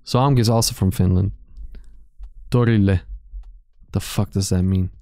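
A man in his thirties streaming from a small gaming room into a boom mic, chatting casually with his viewers, joking and swearing freely. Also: steady tone, unhurried, gravelly, dark tone, no room sound, studio quality. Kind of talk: casual chat reading live chat. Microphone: studio mic on boom arm, headphones.

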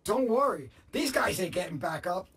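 An actor playing a nervy associate who is eager to leave, in a video game cutscene. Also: male voice, high-pitched, expressive, normal speed, fairly bright tone, distant mic, slight background noise. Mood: impatient.

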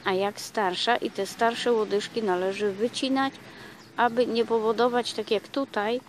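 A woman giving a casual explanatory talk for her gardening vlog, pausing often with filler sounds, recorded outdoors on a camera mic. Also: medium pitch, a little hiss or hum. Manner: hesitant, many filler sounds. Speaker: gardening vlogger.

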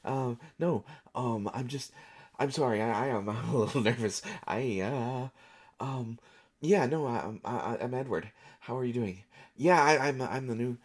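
A man's voice: mid-range voice, unhurried, close to an everyday voice. A man performing an audio roleplay as an overexcited, anxious, over-caffeinated actor, stammering and repeating his words as he rambles. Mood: giddy, nervous. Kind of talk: solo audio roleplay acting, one side of a conversation.

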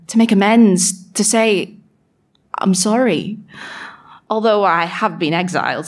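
Woman acting a brooding, sardonic exiled fairy. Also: on the low side. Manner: brooding, self-mocking, sweary. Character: exiled fairy woman, sardonic, guilt-ridden, grudging diarist. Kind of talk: audio drama diary monologue performed live.

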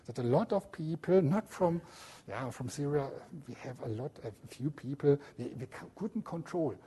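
A man from Germany with a German accent, giving a slide lecture in halting non-native English and often checking in with the room. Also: fairly high pitch, animated, warm tone, breathy edge.

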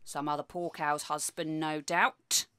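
An actress playing a prudish, sarcastic, nagging British wife in an audio play, with a snippy, sardonic delivery. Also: female voice, low voice, level tone, unhurried, sharp tone, smooth. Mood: scornful. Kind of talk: audio play acting, domestic bickering.

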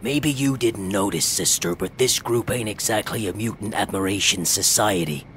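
An actor playing a brash, gruff young male superhero in an audio drama, slangy and sarcastic. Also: fairly low pitch, normal speed, fairly bright tone, slight background noise, natural voice. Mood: scornful.

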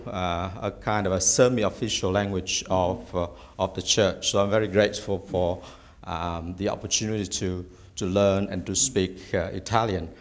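A middle-aged man from Vietnam whose first language is Vietnamese, a bishop-elect giving measured interview answers in a small office, hesitating often with "uh". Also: fairly low pitch. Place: small office room indoors.

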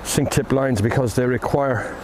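A man's voice: medium pitch, unhurried. An older man with an Irish accent, chatting casually about fly fishing while standing outdoors by a river, recorded by a camera on the bank. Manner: relaxed, explaining, with ums and asides. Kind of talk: casual outdoor how-to talk. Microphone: camera on the bank, speaker at a distance. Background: flowing river and wind.

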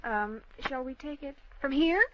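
An American actress playing a polite, obliging young schoolteacher in an old-time radio drama, with a hesitant manner. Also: female voice, high voice, normal speed, slight background noise, natural voice. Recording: muffled, dry old radio recording.

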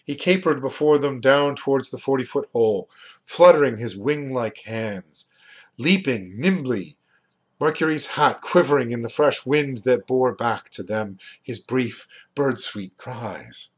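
A man in his fifties gives a literary reading at an unhurried, slow pace. His pitch sits in the middle for a man, and he sets phrases apart with deliberate, expressive pauses. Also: breathy edge. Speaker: reader, poet and rare book seller. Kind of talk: literary audiobook-style reading of a novel. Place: small furnished room at home, indoors. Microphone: webcam or computer mic at desk distance.

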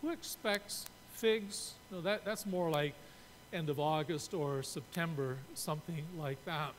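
Older canadian guy preaching, kinda high voice, echoey church, low rumble behind. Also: a little slow. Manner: explanatory, gesturing at a pulpit. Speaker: pastor. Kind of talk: sermon. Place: church sanctuary. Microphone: pulpit mic. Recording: reverberant church room, faint rumble.

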